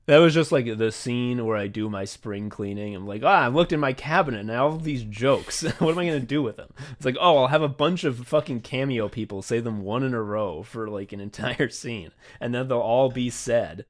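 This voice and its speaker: A man's voice: mid-range voice, moderate pace, few pauses. A man hosting a podcast in casual chat, swearing freely and joking at his own expense. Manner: profane, joking and self-deprecating. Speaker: podcast host and comedy writer.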